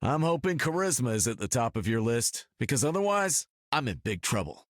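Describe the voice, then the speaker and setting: A man plays a confident American superhero archer for a video game. The delivery is wry and casual, with lively swings in pitch, recorded dry and close. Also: fairly quick, no room sound, close to an everyday voice.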